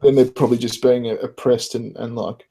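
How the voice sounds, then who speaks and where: A man's voice: mid-range voice, level tone, a little fast, vocal fry, dark tone, breathy edge. An Australian man chatting casually as a host. He swears and laughs freely between his remarks. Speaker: podcast host. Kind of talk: casual chat.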